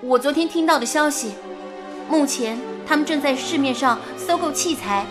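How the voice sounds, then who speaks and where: A woman's voice: sits high, normal speed, music in the background, natural voice. An actress playing an earnest young woman working at a wartime radio station, in radio drama dialogue. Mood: earnest, concerned.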